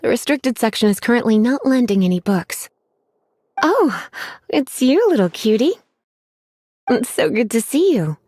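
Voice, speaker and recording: A woman playing a flirtatious, languid librarian in a video game, teasing with a quiet hint of menace. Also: a little high, sing-song, a little slow, pauses often, dry recording, studio quality. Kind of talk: English video game voice acting. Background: soft game music.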